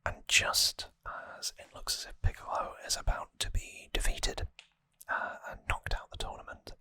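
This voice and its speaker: An ASMR host whispering a trivia recap gently and hesitantly, close to the microphone. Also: normal speed, dry recording. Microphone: close microphone, dry sound.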